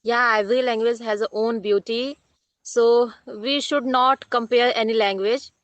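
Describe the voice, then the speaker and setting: An Indian woman whose first language is Hindi talks in hesitant learner English, laughing often between phrases. Her voice sits fairly high. The audio is dry and slightly dull, like a lo-fi video call. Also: fairly even intonation, measured pace, no room sound. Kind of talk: casual English practice conversation.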